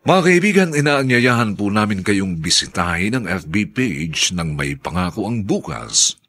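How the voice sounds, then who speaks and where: A man's voice: fairly low pitch, sing-song, moderate pace, fairly bright tone, breathy edge. A man with a Filipino accent delivering dramatic scripted narration as a radio drama announcer.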